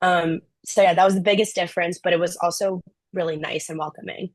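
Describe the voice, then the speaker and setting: A young woman from Brazil, a former intern answering questions in a relaxed chat, full of "like" and "um". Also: on the low side, brisk, dry recording. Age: young adult. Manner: chatty, frequent "like" and "um" fillers. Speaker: program alum, student. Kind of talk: casual Q&A answers.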